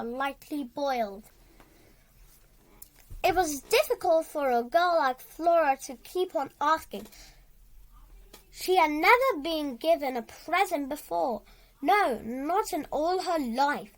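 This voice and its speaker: A child reading a storybook aloud with dramatic emphasis, halting and repeating words along the way. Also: sits high, a bit bright, low-quality recording.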